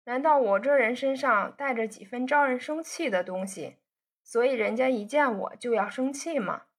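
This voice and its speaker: A woman reading a storybook voices a spoiled young rich man who teases his sweetheart with easy banter. The tone sits fairly high with a husky, breathy edge. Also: normal speed, fairly bright tone. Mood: teasing. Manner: bantering, coaxing. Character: teasing young man, playful rich son.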